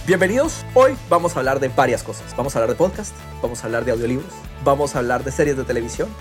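Un hombre que conduce un podcast de charla informal, contando las cosas con animación y entusiasmo. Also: male voice, mid-range voice, animated, fast talker, over music. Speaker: podcast host. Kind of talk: casual podcast interview.

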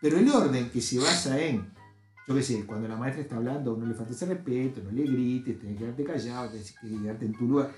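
A man's voice: mid-range voice, fairly quick, darkish tone, roomy sound, soft background music. A man, an author and educator speaking earnestly and emphatically as a podcast guest. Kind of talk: casual podcast conversation.

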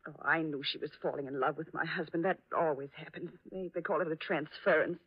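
An actress playing a distraught, accusing new widow in a 1940s radio melodrama, with a dramatic, pleading manner. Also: female voice, low-pitched, a little fast, slightly rough. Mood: tense, accusing. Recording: muffled vintage 1940s radio recording.

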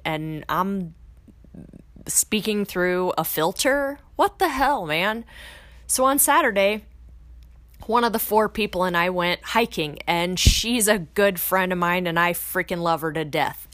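A woman's voice: medium pitch, fairly bright tone, no echo. A woman hosting a podcast, talking in a casual, blunt, motivational way that swears freely and rises into emphatic bursts. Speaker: podcast host.

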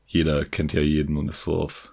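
A man in a relaxed, reflective casual chat about a film. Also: rumbling low, fairly quick, vocal fry. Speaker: podcast co-host.

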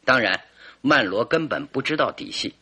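Confident male detective, old radio play. Bright, slightly rough voice, leisurely theatrical deductions. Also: mid-range voice, animated, moderate pace, full character voice. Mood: explaining, probing. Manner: theatrical, leisurely deductive storytelling with rhetorical questions. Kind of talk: old-fashioned Mandarin radio drama acting.

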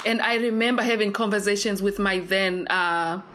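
A woman's voice: mid-range voice, moderate pace, fairly bright tone. A woman in her thirties from Zimbabwe, whose first language is not English, telling an interviewer her story in a rambling, filler-heavy way. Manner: rambling, with many fillers and restarts. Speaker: interview guest. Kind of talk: casual conversational storytelling.

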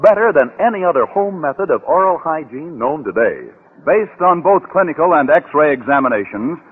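A man's voice: on the high side, breathy edge. A man reading a radio commercial as an announcer, with emphatic, declamatory sales delivery in an old-time style. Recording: tinny, narrow old radio recording.

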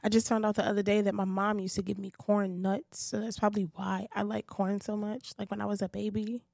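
A woman's voice: mid-range voice, flat delivery, a little fast, vocal fry, a little mellow, no room sound, clean studio sound. A woman from Brooklyn, co-hosting a faith podcast, bubbly and giggly, punctuating points emphatically. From Brooklyn, New York. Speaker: podcast co-host, singer. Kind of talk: casual chat.

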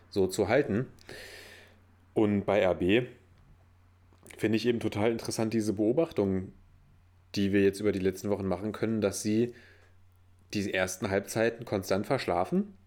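Guy with a lowish voice chatting football, keeps pressing his point. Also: a little mellow. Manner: pushes back, asks pointed questions. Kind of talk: casual football talk.

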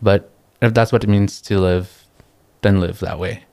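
A young man from the Philippines, a guest talking in a relaxed, reflective way, pausing often and laughing easily. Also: fairly low pitch, creaky, clean tone, no echo. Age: young adult. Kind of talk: casual interview chat.

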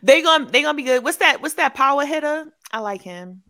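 A woman's voice: sits high, lively intonation, a little fast, sharp tone. A young woman co-hosting a casual sports chat, playful and teasing, laughing often. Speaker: co-host.

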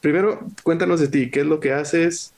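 A man's voice: a little high, steady tone, moderate pace, creaky, darkish tone. A man hosting a casual interview over a video call, chatty and friendly, laughing easily. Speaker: host.

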